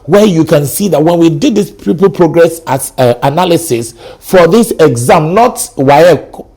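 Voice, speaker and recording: A middle-aged Ghanaian man with a Ghanaian accent, a guest on a talk show, telling stories in an animated way. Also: a little high, measured pace, slightly rough. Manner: animated storyteller, acts out sounds and quotes. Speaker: guest, educator. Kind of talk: studio talk show conversation.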